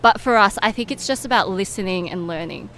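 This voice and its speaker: A woman's voice: mid-range voice, animated, fairly quick, fairly bright tone, low-quality recording. A young woman giving informal, explanatory answers as a guest in an interview. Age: young adult. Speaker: interview guest, medical student and competition winner. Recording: clear background noise and ambience.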